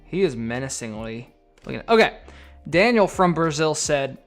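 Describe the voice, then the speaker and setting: A man's voice: on the high side, very animated, moderate pace, faint music behind. A man chatting casually on a live stream, reading viewer donations and comments aloud. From United States. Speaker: card collector streamer.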